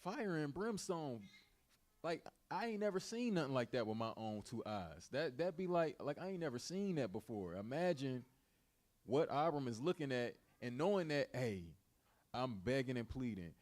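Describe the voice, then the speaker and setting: A man's voice: medium pitch, very animated, normal speed, darkish tone. A man leading an informal Bible study, teaching and retelling in colloquial, repetitive everyday speech.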